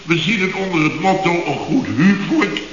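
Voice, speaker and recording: A man's voice: high-pitched, lively intonation, moderate pace, slight background noise. An actor playing an indignant middle-aged company boss in a radio comedy, sarcastic and sputtering as he repeats himself. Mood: mock agreement. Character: blustering, indignant middle-aged Dutch building contractor boss. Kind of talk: 1970s radio comedy acting. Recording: dull, echoey old radio recording.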